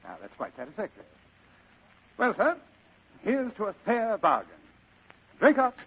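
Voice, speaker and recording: A sly, fat older gentleman villain in vintage radio style. The voice sits fairly high for a man and swings widely as it savours courtly phrases. The sound is a muffled old broadcast. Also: huge pitch swings, normal speed, slightly rough, exaggerated voice. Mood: genial bargaining. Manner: courtly, leisurely, ornate speech. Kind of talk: 1940s radio acting.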